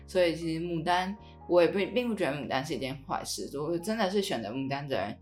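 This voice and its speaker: A woman's voice: low voice, expressive, quick pace, a little mellow, faint music behind. A woman with a Taiwanese Mandarin accent hosting a podcast in a casual, conversational way. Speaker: podcast host.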